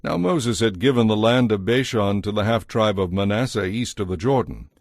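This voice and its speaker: A man narrating an audio Bible from a script in a measured, deliberate, formal way. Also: on the low side, animated, no echo, clean studio sound.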